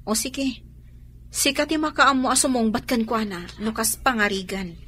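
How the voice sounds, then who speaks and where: A woman's voice: fairly high pitch, normal speed, pushed and bright, husky. A woman playing a cautious, doubtful shop co-worker with an Ilocano Filipino accent in a radio drama, full of questions.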